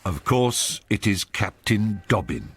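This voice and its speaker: An actor playing a measured, wry storyteller, narrating a period radio drama. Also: male voice, lowish voice, lively intonation, a little hiss or hum. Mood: measured, grave. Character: measured, wry male storyteller of Regency society.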